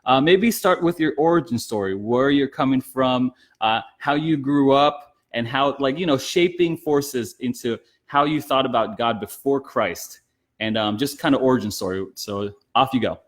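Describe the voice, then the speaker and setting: A man's voice: mid-range voice, moderate pace. A man hosting an interview podcast in a casual, chatty conversational style.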